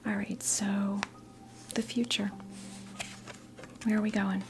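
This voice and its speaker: An American woman in her fifties playing a kindly witch tarot reader in an ASMR role play, whispering softly, gently and reassuringly with hesitant, unhurried phrasing. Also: mid-range voice, little pitch movement, noisy background. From New Jersey, United States. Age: 50s. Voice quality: soft breathy whisper.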